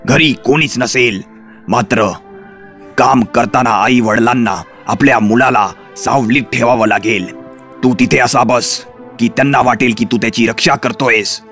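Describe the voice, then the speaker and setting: A man voicing a scheming wolf in a children's animated fairy tale, speaking slowly and deliberately. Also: mid-range voice, soft background music. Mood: plotting, reassuring. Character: scheming male wolf, friend turned vengeful.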